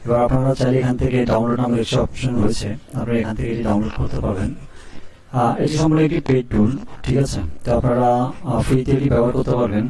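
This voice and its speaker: Man, tutorial host, mellow tone, roomy distant mic. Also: medium pitch, rapid-fire. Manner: steady instructional, frequent "ঠিক আছে?" check-ins. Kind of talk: screen-recorded software tutorial.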